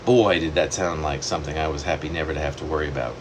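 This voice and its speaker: A man reading his own long poem aloud in a wandering, self-correcting way, with sudden leaps in pitch. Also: fairly even intonation, fast talker, slight background noise. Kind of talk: poetry reading.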